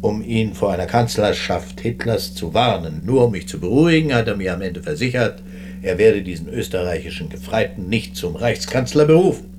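An actor as a low-voiced, disdainful retired army chief. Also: male voice, lively intonation, brisk, over music, natural voice. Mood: bitter, disdainful. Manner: dry, dismissive, aristocratic clipped remarks. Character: weary, proud retired German army chief, curt and disdainful. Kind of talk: radio drama dialogue.

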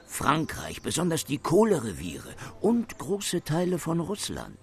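An actor playing a jovial, pompous old school headmaster who declaims patriotically in a radio play. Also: male voice, fairly high pitch, wildly swooping pitch, unhurried, darkish tone, breathy edge, heavily acted. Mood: pompous, blustering. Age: older. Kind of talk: radio drama acting.

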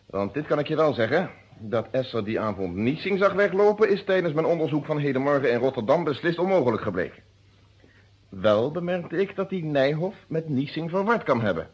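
An actor playing a methodical police inspector in a vintage radio play, with formal, precise phrasing and a Netherlands Dutch accent. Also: male voice, fairly high pitch, very animated, brisk, slightly rough. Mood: firm, measured. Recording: dull, muffled old radio recording.

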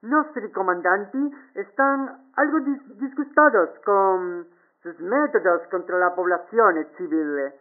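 A man's voice: high-pitched, slow pace, narrow, tinny audio. A man playing an uneasy, diplomatic Italian ambassador in an audio drama, hesitant, stammering and formal.

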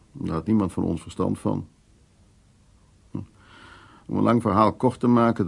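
An elderly gentleman in a radio play, with a low, husky voice full of gravel. He is a wry old charmer who tells of his past in short, unhurried fragments. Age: 70s or older. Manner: unhurried, fragmentary, reminiscing storytelling. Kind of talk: naturalistic radio drama monologue and dialogue.

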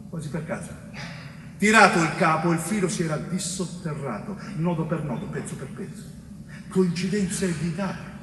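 High-voiced, bitter dead police chief. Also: male voice. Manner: deliberate, dramatic pauses, phrase endings dropping low. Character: dead police chief, bitter, lucid, recounting his thwarted investigation. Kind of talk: theatrical stage monologue. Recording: roomy stage recording, distant mic, faint noise.

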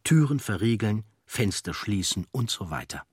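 Husky, low-voiced male documentary narrator. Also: very animated, moderate pace, darkish tone, dry recording, clean studio sound. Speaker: audiobook narrator.

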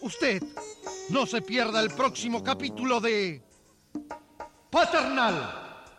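A man's voice: extremely high pitch, animated, takes it slowly. A man narrating a radio serial in a dramatic scripted style. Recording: music behind.

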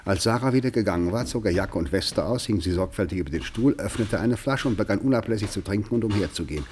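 Low-voiced male narrator. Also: animated, fast talker, darkish tone, slight background noise. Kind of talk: scripted literary narration in a radio play.

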